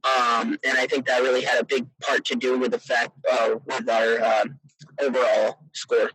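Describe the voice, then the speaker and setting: A man's voice: medium pitch, little pitch movement, normal speed, fairly bright tone, low-quality recording. A teenage male student athlete answering casually in an interview, earnest and hesitant, leaning often on verbal fillers. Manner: hesitant, earnest, peppered with "you know".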